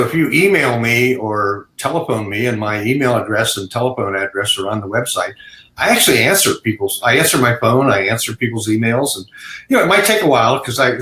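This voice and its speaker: Male webinar guest, earnest and reassuring, tense bright tone, roomy echoey mic. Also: medium pitch. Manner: earnest, reassuring, many "you know" and restarts. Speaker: guest, online seller resource owner. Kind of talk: casual webinar talk. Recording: echoey room sound.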